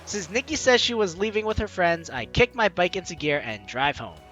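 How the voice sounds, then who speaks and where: A man's voice: high-pitched, sing-song, moderate pace, fairly bright tone, faint music behind. A man hosting a game playthrough, reading the text aloud and breaking off into playful, teasing, exclamatory commentary for his audience. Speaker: let's-play host.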